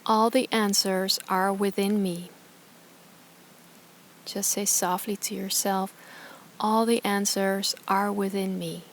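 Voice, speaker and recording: A woman with a mid-pitched, very even voice leads a guided meditation. She speaks softly and calmly, leaving space between short phrases. Clear ambient noise sits in the recording. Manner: calm, soft, soothing, with slow pauses between phrases. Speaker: yoga teacher, meditation guide.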